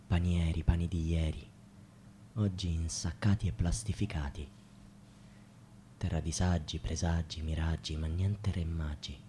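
An actor playing an embittered young student in a radio drama, speaking in solemn, rhetorical, litany-like phrases. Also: male voice, sits low, dark tone, a little hiss or hum. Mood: hushed, bitter. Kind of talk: theatrical radio drama monologue, litany-like chanting.

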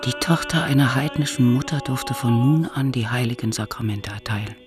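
An actress in a radio drama playing a disguised woman priest who reflectively narrates her life in measured speech. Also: a bass voice, fairly even intonation, music in the background. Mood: grave. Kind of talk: scripted first-person radio drama narration.

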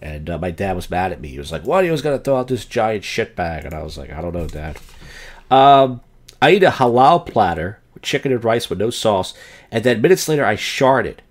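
Rambling crude-talking young man, low voice. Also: brisk. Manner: rambling, crude, deadpan asides. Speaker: podcast host. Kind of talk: casual crude comedy monologue, taking calls.

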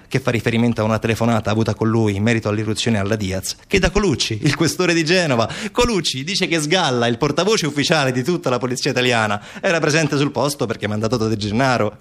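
A man's voice: mid-range voice, fast talker, few pauses. A man performing a theatrical narrative monologue as a stage actor, with an ironic, questioning manner. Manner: rhetorical questions, ironic, acting out quoted replies.

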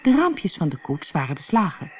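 Lively, expressive fairy-tale narrator. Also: medium pitch, moderate pace, slight background noise. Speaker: audiobook narrator.

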